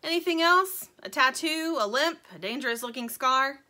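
A sarcastic, bossy female chicken from a children's story, acted out by a woman narrator. She snaps and wisecracks in a high, sharp voice that moves up and down with lots of life. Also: animated, normal speed. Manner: snappy, wisecracking.

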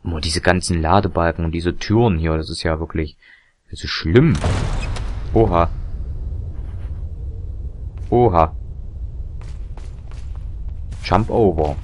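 A young man with a very deep, slightly hoarse voice chats his way through a video game. He rambles, corrects himself and fills gaps with ums and chuckles. A faint hiss sits behind his desk mic. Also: slow pace, long pauses, darkish tone. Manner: rambling, chatty, self-correcting with frequent fillers and laughs. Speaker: let's play commentator. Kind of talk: casual gameplay commentary.

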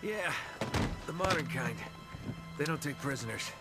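A man's voice: fairly high pitch, expressive, unhurried. Wisecracking male adventurer, music blaring. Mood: wry urgency. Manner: wry, offhand. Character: wisecracking, cocky male adventurer treasure hunter. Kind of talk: cinematic video game acting. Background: loud game music.

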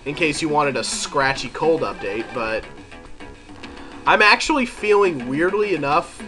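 A man's voice: on the high side, expressive, a little slow, bright, forceful tone. A man with a head cold, sounding congested, chatting and thinking aloud as he commentates a video game. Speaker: let's play commentator. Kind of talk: casual gameplay commentary. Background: game music.

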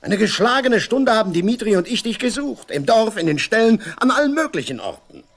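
An actor playing a bossy, exasperated village official in a 1970s East German radio drama, interrogating and blustering. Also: male voice, high voice, sing-song, brisk, creaky, full character voice.

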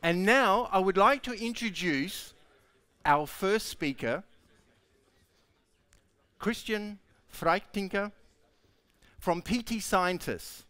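A man's voice: on the high side, a bit bright, no room sound. A man introducing the next speaker at a conference, upbeat and emphatic. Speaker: conference moderator.

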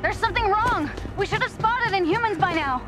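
A woman's voice: very high-pitched, animated, fairly quick. An actress voicing an eager young female superhero in a video game. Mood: alarmed. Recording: music behind the voice.

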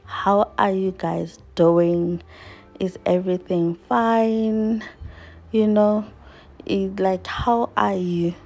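A woman in her thirties talks to her viewers in a slow, unhurried way, her voice mellow and low. She sounds earnest and reflective as she does chores in her home kitchen, picked up by a camera across the room, with soft music faintly underneath. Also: fairly even intonation. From South Africa. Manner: earnest, reflective, speaks while washing dishes. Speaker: vlogger. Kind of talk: casual chat to viewers while doing chores.

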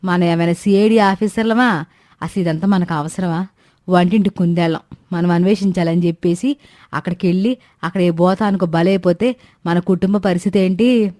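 A woman's voice: lowish voice, steady tone, moderate pace, warm tone, no echo, studio quality, lightly acted. A woman voicing a sarcastic, wary older village mother-in-law in a cartoon story, scolding in rustic colloquial speech. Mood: worried. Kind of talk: animated Telugu moral story dialogue.

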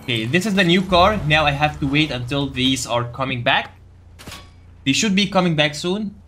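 Bright-voiced man guiding through a game. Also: a little high, animated, moderate pace, faint music behind. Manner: explanatory, addressing viewers directly. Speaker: gaming video creator giving a quest guide. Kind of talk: casual gameplay tutorial commentary.

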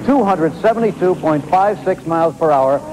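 A man narrating a sports television feature from a script, with measured, dramatic storytelling. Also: a little high, animated, noisy background. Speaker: sports documentary narrator and host.

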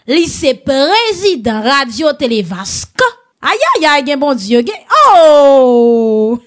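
A woman with a Haitian accent hosting conversational online news commentary, addressing her listeners familiarly in a bantering, animated way. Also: a little high.

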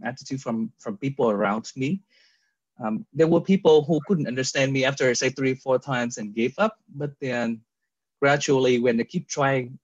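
A man's voice: mid-range voice, no echo. A man from Vietnam, speaking with a Vietnamese accent, tells his personal story as a guest in an interview, earnest, with many fillers and restarts over a video call. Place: indoor room with virtual background. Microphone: video call webcam. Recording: dull lo-fi video call audio.

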